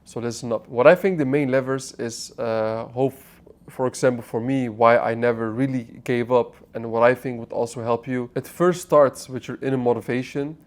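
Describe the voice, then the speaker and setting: A man's voice: medium pitch, steady tone, normal speed. Brief: man, twenties, raised in the Netherlands, talks to camera in a casual motivational monologue, blunt, curses, restarts phrases. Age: 20s. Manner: blunt, swearing, restarts mid-sentence, addresses viewer directly. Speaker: online business vlogger. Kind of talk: unscripted motivational talk to camera. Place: apartment.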